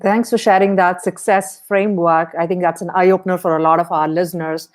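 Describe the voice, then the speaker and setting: Lower-pitched woman hosting a podcast interview. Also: fairly even intonation, fairly quick. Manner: affirming, encouraging.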